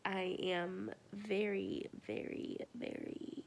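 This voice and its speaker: A young woman in her twenties with a cold, chatting casually through a makeup tutorial at home and sometimes whispering softly. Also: medium pitch, very animated, takes it slowly, vocal fry. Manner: chatty, drops to soft whispers while applying products. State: congested with a cold. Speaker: beauty vlogger. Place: small room at home, indoors. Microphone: camera in front at close range.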